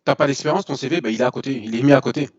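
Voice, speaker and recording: A man in his forties from France talks fast as an interview guest. He tells his story in an animated way, restarting sentences and saying "voilà" often. The recording sounds dull and lo-fi. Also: medium pitch. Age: 40s. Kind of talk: casual interview talk.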